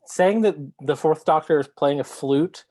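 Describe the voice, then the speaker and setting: Hesitant, low-key man on a podcast panel. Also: measured pace, darkish tone. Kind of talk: casual book-club discussion.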